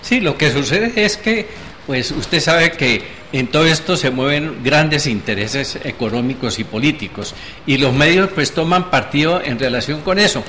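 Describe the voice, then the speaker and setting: A man giving thoughtful, measured analysis on a TV panel, pausing with fillers. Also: a little high, expressive, pushed and bright, a little hiss or hum. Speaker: panel guest, political commentator. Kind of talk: TV panel debate.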